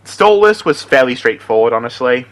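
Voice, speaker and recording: Animated man chatting about voice impressions. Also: medium pitch, moderate pace. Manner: giggly, chatty, enthusiastic. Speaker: amateur voice impressionist and aspiring voice actor. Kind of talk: casual chat introducing character impressions.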